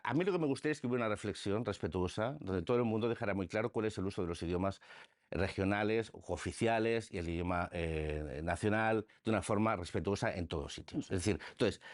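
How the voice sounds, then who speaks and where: Low-voiced man arguing with lively pitch. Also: dry recording, studio quality. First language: Spanish. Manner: repetitive restarts, emphatic rhetorical questions. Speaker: interviewed politician. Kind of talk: political radio interview.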